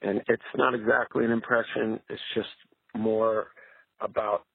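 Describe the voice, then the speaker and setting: A man's voice: on the low side, level tone, measured pace, vocal fry, breathy edge, no echo. A man from Canada leaving a casual call-in message over a muffled phone line, speaking hesitantly and trailing off. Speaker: caller.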